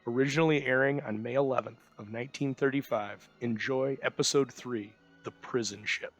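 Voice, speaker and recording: A man's voice: mid-range voice, steady tone, fairly quick, rough recording. A man hosting, reading a scripted introduction in the style of a programme presenter. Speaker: host introducing an old radio episode. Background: music.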